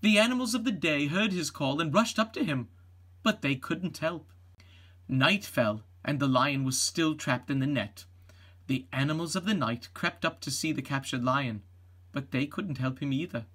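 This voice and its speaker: A middle-aged man reading a children's storybook aloud as an expressive narrator. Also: on the high side, normal speed.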